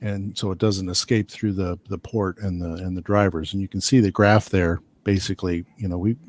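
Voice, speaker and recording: A man's voice: low-pitched, steady tone, fairly quick. A man engineer explaining speaker design in a loose, technical panel chat, full of restarts and asides. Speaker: loudspeaker engineer.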